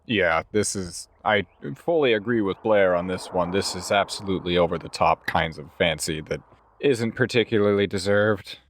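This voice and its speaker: A man improvising as a tabletop game player, talking deliberately with some hesitation. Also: low-pitched, slight background noise. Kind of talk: improvised actual-play game talk.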